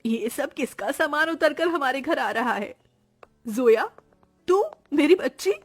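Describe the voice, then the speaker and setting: A woman's voice: high voice, expressive, measured pace, soft background music. A woman voicing an emotional, devoted woman in a melodramatic cartoon family tale, with an earnest, plaintive delivery. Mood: flustered.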